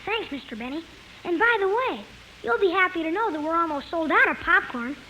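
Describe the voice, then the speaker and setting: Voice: extremely high pitch, lively intonation, brisk, pushed and bright, exaggerated voice, poor audio. A performer playing an eager, polite young American boy in a 1950s radio comedy. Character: eager, chirpy young boy stage helper. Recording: hissy 1950s radio recording.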